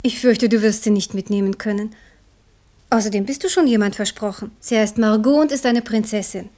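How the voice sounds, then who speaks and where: A woman's voice: mid-range voice, a little fast, warm tone, lightly acted. An actress playing a stern, devout queen who speaks earnestly and firmly to her young son in an old radio drama. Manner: earnest, warning, firm. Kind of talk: 1970s radio drama acting.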